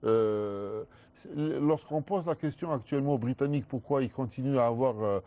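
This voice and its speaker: Mellow-voiced male scholar explaining. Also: mid-range voice. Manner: measured, with frequent restarts. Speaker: guest scholar. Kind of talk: explanatory studio discussion. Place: TV studio.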